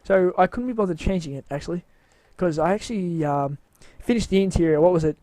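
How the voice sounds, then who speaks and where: A man's voice: high voice, fast, mellow, no room sound. A man giving a casual, rambling walkthrough of his game build, tired and with his voice wearing out, hesitant and mocking himself.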